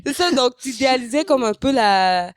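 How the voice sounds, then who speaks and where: A woman with a Québécois accent hosting a podcast, relaxed and asking follow-up questions. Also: sits high, brisk. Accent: Québécois French. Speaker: podcast host. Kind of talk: casual conversation.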